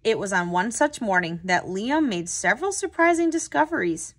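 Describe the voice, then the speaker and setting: A woman with a mid-pitched voice reads a storybook aloud for children. She phrases clearly and tells it expressively, in the manner of a tutor hosting story time. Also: expressive, moderate pace.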